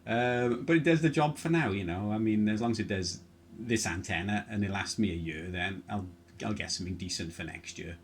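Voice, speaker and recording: A man's voice: on the low side, a little fast, a little hiss or hum. A man rambling casually through technical details as he chats as an amateur radio operator. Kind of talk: casual chat over ham radio.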